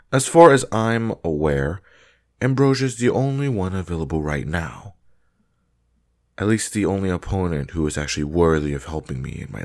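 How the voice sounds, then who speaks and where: A deep male voice acted by a man for a fantasy battle trainer. He is stern and demanding, with formal, clipped commands that expect to be obeyed. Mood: stern, unyielding. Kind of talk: scripted fantasy audio roleplay.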